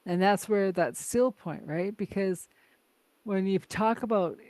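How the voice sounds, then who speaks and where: A woman's voice: mid-range voice, unhurried, a little mellow, no room sound. A woman hosting a podcast from Canada, chatty and laughing often, full of "like" and "right?". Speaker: podcast host. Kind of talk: casual conversation.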